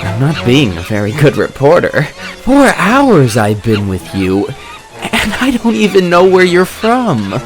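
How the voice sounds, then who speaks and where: A woman's voice: deep voice, wildly swooping pitch, measured pace, heavily acted. A woman voicing a dreamy, smitten female reporter, musing aloud in a comic-book dub. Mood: curious. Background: music.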